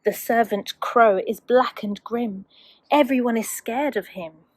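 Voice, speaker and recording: A woman's voice: on the high side, unhurried, no room sound. A woman, a teacher, reading a children's storybook aloud expressively to young children.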